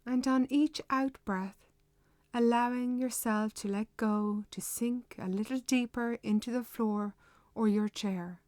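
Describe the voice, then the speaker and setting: A woman leading a guided meditation with measured, unhurried, gently instructive delivery. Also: on the high side, fairly even intonation, no room sound.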